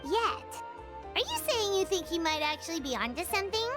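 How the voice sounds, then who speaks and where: Tiny squeaky game sidekick, super high and bouncy, always asking stuff, music behind. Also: female voice, very animated, brisk. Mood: curious. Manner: quizzical, blurts questions. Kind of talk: game cutscene voice acting. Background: game score music.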